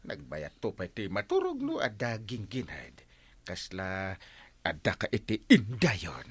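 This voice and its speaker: A man's voice: fairly low pitch, wildly swooping pitch, a little slow, slightly rough, exaggerated voice. A man with an Ilocano accent playing a lazy, sleepy, comically unbothered village captain in a radio comedy drama, grumbling and exclaiming. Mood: lazy, defensive.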